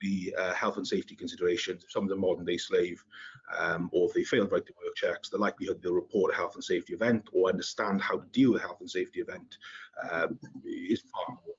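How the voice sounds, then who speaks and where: A man's voice: deep voice, flat delivery, quick pace, husky. A man with a thick Welsh accent gives a business webinar presentation over a video call, hesitating with many fillers and restarts. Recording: muffled lo-fi online call audio.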